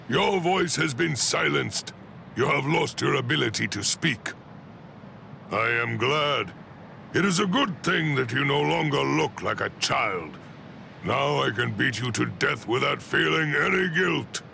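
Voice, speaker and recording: An actor playing an ancient, stern and proud warrior commander in an anime video game, with a gravelly voice and a grave, imperious delivery. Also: male voice, medium pitch, expressive, measured pace, sharp tone, a little hiss or hum, full character voice. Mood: grim, pitying. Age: elderly. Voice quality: gravelly, creaky.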